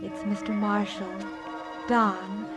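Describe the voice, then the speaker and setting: A woman's voice: mid-range voice, level tone, takes it slowly, close to an everyday voice. A woman playing a dreamy young working-class daughter in a 1950s radio musical. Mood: wistful. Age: young adult. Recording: old radio broadcast with loud music.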